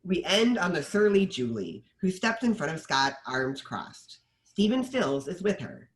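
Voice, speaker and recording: A woman reads screenplay stage directions as scripted narration, with a lively, varied pitch. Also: measured pace.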